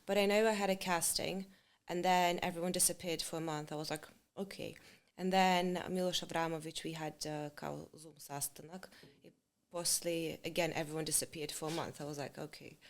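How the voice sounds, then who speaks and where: A woman actress being interviewed, speaking English in a relaxed, halting way and slipping in Serbian words. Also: low voice. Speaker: actress, guest. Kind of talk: casual interview chat.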